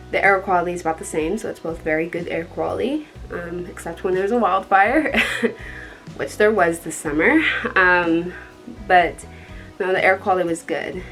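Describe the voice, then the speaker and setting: A young woman from Colorado with a dark tone, chatting casually to the camera in a rambling way, with many ums and soft laughs. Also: fairly low pitch, low-quality recording. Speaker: vlogger.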